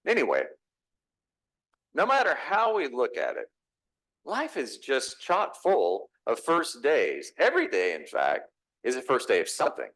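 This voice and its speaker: A man's voice: medium pitch, wildly swooping pitch, measured pace, fairly bright tone. A middle-aged man from Texas with a Texas accent, preaching a reflective, conversational sermon in a church, asking his listeners questions. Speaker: pastor. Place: church sanctuary.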